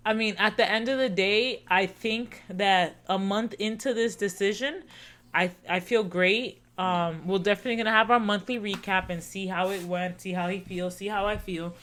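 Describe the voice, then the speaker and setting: Woman in her thirties, podcast co-host, casual earnest chat, repeats words, middle pitch. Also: steady tone, moderate pace, fairly bright tone. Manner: earnest, reflective, repeats herself.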